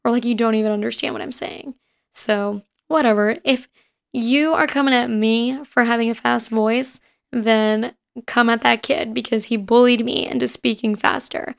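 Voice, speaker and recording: A woman in her twenties with a raspy voice, hosting a casual podcast and rambling quickly through personal stories. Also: a little high, fairly even intonation. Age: 20s. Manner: fast-talking and rambling. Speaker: podcast host. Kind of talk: casual chat. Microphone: home recording setup.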